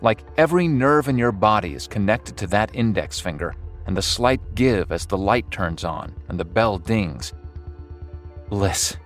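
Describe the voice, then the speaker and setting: A man reading scripted second-person horror-comedy narration in a wry, dramatic storytelling manner. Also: on the low side. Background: music under the voice.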